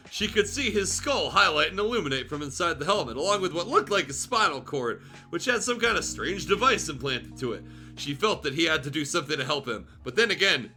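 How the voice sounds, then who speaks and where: A man's voice: a little high, bright, forceful tone. A man reading fan fiction aloud as a streamer, in a theatrical, irreverent storytelling style with joking asides.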